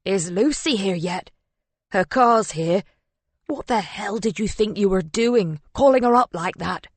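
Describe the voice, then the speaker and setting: An anguished husband in an audiobook drama, played by a woman, accusing and raw with grief. The delivery hisses, moans and cries out in slow, heavy phrases, recorded close and dry. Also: medium pitch, lively intonation, husky, dry recording. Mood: urgent, angry. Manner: hissing, moaning, crying out. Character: anguished, angry husband, adult man, grief-stricken and accusing.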